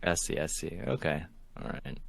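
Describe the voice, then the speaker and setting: A man's voice: low-pitched, moderate pace, gravelly. A man co-hosting a casual podcast chat, teasing and quizzing his guest. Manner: teasing, lively interviewer. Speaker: podcast co-host.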